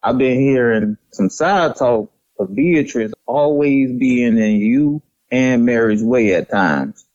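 A man playing a caring, measured father advising his grown son in an audio drama, on a dull lo-fi recording. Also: mid-range voice, a little mellow, dry recording, lightly acted.

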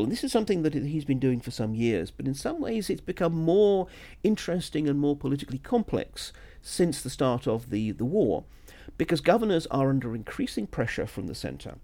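A man's voice: a little high, very animated, fairly quick, dark tone. A man talking through political analysis as a solo podcast host, conversational and discursive, with asides and self-corrections.

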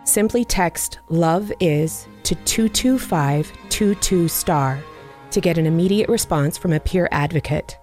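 A woman hosting a podcast, reading a scripted announcement to listeners in a measured, earnest way. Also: on the low side, darkish tone. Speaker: podcast host.

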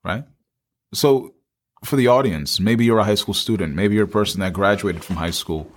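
Male podcast co-host with a low voice, conversational and emphatic, addressing the audience and doubling phrases. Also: moderate pace.

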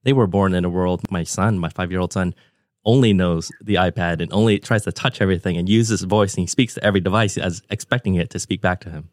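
A man's voice: low voice, fast talker, dry recording, studio quality. A man of about forty hosting a podcast interview over a remote call, talking in a conversational, enthusiastic and discursive way. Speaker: podcast host.

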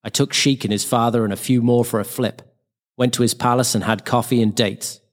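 A man's voice: mid-range voice, steady tone, brisk, close to an everyday voice. A man voicing a wry wartime airman's diary in clipped, telegraphic phrases. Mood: matter-of-fact. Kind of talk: diary reading. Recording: long hall-like reverb.

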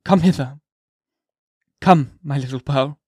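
A man's voice: a little high, slow, warm tone, slightly rough, no room sound. A man voicing a frail, anguished minister who pleads in a raised, impassioned voice, reading an old novel aloud. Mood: solemn. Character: frail anguished male minister, pious and fervent.

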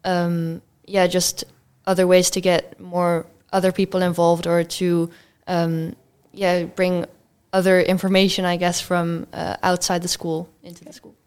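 A woman's voice: on the low side, little pitch movement, unhurried, darkish tone, smooth. A fifteen-year-old girl from Belgium, answering questions as a student guest in an interview.

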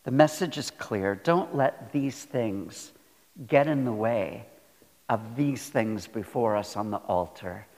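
A man preaching a sermon as a pastor, earnest and deliberate, repeating phrases for emphasis. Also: mid-range voice, darkish tone. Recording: long hall reverb.

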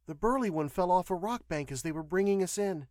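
A man voicing a firm, caring woman nurse in an audio story, explaining and gently admonishing. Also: high voice, expressive, a little fast, no room sound, clean studio sound, voice pushed up.

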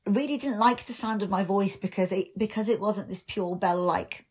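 Candid raspy-voiced woman. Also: mid-range voice, normal speed, darkish tone. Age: 30s. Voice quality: slightly raspy voice. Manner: candid, reflective, with frequent ums and restarts. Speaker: singer and actor. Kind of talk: podcast interview.

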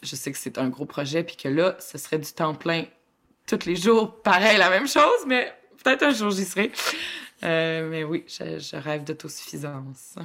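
A woman with a Québécois accent co-hosting a podcast, chatting in a lively, expressive, colloquial way and laughing often. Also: low-pitched, normal speed. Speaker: podcast co-host. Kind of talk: casual chat.

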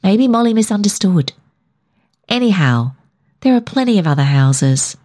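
Woman reading as an easygoing, unhurried storybook husband. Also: mid-range voice, sing-song, mellow. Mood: reassuring.

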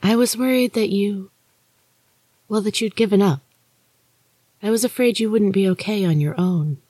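A narrator voicing a protective, enigmatic ghost sister in an earnest, soft-spoken way for a storybook. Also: medium pitch, moderate pace, husky, no echo, pitched above the performer's own voice, lightly acted. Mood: tender, earnest.

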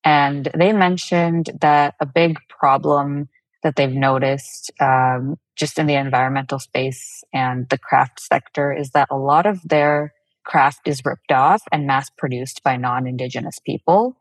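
A woman's voice: rumbling low, fairly even intonation, measured pace, no room sound. A woman who is a native Telugu speaker, talking casually as an interview guest about her own work. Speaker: guest, fashion brand founder. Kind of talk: interview answer, casual conversation.

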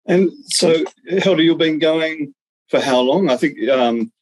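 A man's voice: fairly high pitch, unhurried, slightly rough, poor audio. A man hosting a business podcast, asking questions hesitantly with many ums. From Australia. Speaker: podcast host. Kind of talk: interview questions.

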